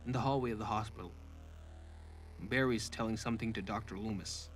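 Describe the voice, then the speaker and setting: A man's voice: on the low side, a little fast, faint music behind. A man narrating a short audio drama as a scripted story.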